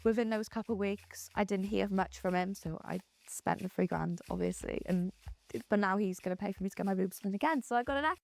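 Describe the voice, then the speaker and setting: A woman's voice: mid-range voice, fairly quick, gravelly. A young woman from Wales with a Welsh accent, speaking bluntly and matter-of-factly in a documentary interview. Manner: blunt, matter-of-fact, unapologetic. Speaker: streamer interviewed in a documentary clip.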